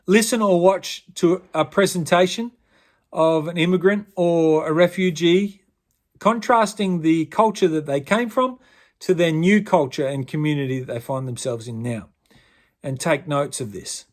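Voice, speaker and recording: A man, a pastor explaining the steps of a youth honour in a relaxed, conversational way. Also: a little high, a little slow. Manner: relaxed, conversational, with fillers and restarts. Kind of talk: instructional talk explaining an honour's requirements.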